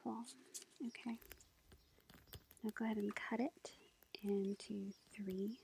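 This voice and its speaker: A woman doing a soft-spoken, half-whispered ASMR roleplay as a tarot reader, with a breathy voice and an unhurried, hesitant, gentle, casual manner. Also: medium pitch, flat delivery. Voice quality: breathy, whispery, with creaky fry. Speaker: ASMR roleplay performer as a tarot-reading witch girlfriend. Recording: lo-fi with faint background noise. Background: faint forest ambience with bird song.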